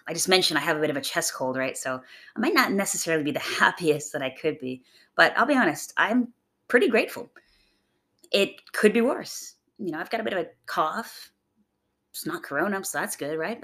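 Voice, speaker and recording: A young adult woman hosting a podcast, raspy from a chest cold, talking in a chatty, upbeat, rambling way. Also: fairly bright tone. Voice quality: gravelly, low voice. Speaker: podcast host. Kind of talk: casual motivational monologue.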